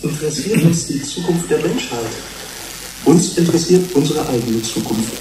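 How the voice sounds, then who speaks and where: An actor playing a skeptical, challenging human-fish hybrid in a German radio play, speaking in pointed questions. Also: male voice, on the high side, expressive, moderate pace, natural voice. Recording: distant, roomy, faint noise.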